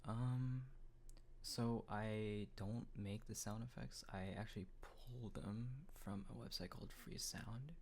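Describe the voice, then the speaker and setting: A man, a voice actor, answering fan questions in a soft, half-whispered way, hesitant and full of pauses. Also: on the low side, steady tone, creaky, a little hiss or hum. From Texas, US. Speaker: voice actor, channel host.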